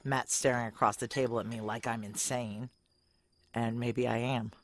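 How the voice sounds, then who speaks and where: A woman's voice: rumbling low, level tone, normal speed. An actress playing a wry, guarded, jealous woman on the run, in dry, clipped noir audio drama dialogue and inner monologue. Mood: wry, introspective.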